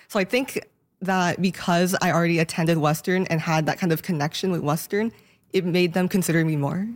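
A young woman just out of high school, speaking softly with light fillers as a radio interview guest. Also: fairly even intonation, fairly quick. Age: young adult. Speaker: scholarship-winning high school graduate.

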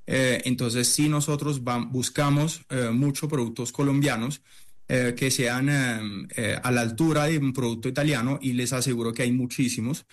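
A middle-aged man from Italy talks through his answers in a halting, searching way. He pauses often on fillers and restarts his sentences, with a voice of ordinary pitch for a man. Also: steady tone. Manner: hesitant, many fillers and restarts. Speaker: chef and restaurant owner. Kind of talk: interview answers.

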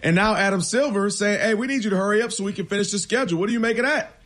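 Casual higher-voiced man in sports banter. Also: rapid-fire, fairly bright tone. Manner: casual, conversational, slangy. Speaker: radio sports talk co-host.

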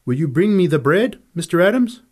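Guy doing a mannerly audiobook character, courteous and formal, bit higher pitched. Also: very animated, brisk, darkish tone. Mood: polite, attentive. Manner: polite, formal, deferential. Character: courteous, soft-spoken male companion of a prizefighter.

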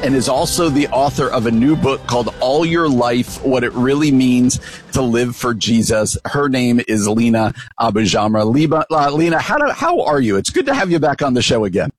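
A man's voice: medium pitch, moderate pace, soft background music. A man hosting a radio interview show, greeting and questioning his guest in an upbeat way. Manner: upbeat, lively. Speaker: radio talk show host.

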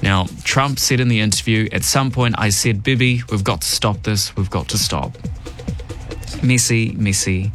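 A man's voice: lowish voice, moderate pace, music in the background. A man with a New Zealand accent hosting a radio show, giving emphatic opinion commentary and reading news headlines. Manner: emphatic, opinionated, varied delivery. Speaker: radio host.

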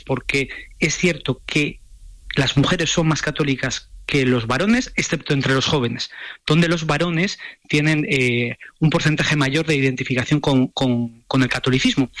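A man with an accent from Spain speaking as an expert guest in a radio interview, explaining in a measured, academic way. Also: fairly high pitch, a bit bright, no room sound. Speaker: sociologist, university professor, guest expert.

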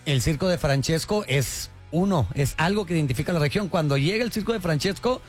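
A man's voice: fairly high pitch, animated, fairly quick, faint music behind. A man, co-hosting radio in an upbeat, agreeable manner. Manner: upbeat, agreeable, laughs often. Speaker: radio co-host. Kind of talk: casual radio banter.

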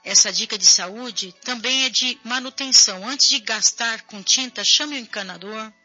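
Woman, Brazilian accent, hoarse, bright piercing tone, chatty TV host, faint music behind. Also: on the high side, moderate pace, lo-fi sound. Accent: Brazilian Portuguese. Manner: chatty, addresses viewers directly. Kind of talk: casual talk show, reading viewer messages.

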